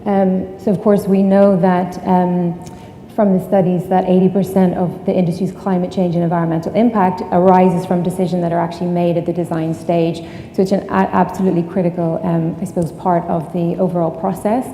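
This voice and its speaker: A woman with an Irish accent presents at a conference, filling pauses with frequent ums as she explains. Her voice is low and very mellow. She sounds far off in a large echoing hall with a faint hiss behind her. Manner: many ums, steady explaining. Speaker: conference speaker. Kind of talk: conference talk. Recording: echoey hall, distant, faint noise.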